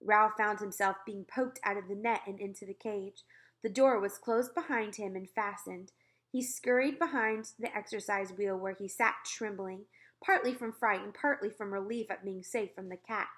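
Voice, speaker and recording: A woman narrating a children's book aloud in an expressive storytelling style. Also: mid-range voice, normal speed.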